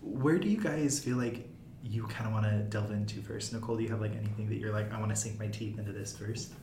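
A man's voice: on the low side, fast. Casual young man, echoey room. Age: young adult. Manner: friendly, many fillers. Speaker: podcast host. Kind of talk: casual student podcast chat. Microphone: wearing headphones at a mic. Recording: echoey room, faint noise.

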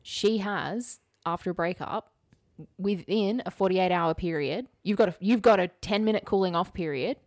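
Low-voiced young woman, dry close recording. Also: moderate pace, darkish tone, dry recording. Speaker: podcast co-host. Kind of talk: casual conversational chat and storytelling.

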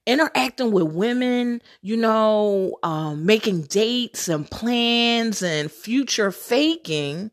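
A woman's voice: a little high, lively intonation, slow, hardly any pauses. A woman hosting a casual storytelling podcast, candid and sassy, swearing freely, laughing often and calling her listeners sisters. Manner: addresses listeners as sisters and girl. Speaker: podcast host.

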